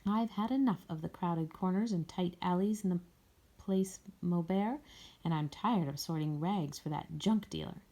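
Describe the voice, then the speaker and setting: Lady doing a grumpy old tramp guy from a storybook, proud n formal, mellow creaky voice. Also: lowish voice, moderate pace, low-quality recording. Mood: weary, resolved. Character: gruff, proud old male Parisian tramp.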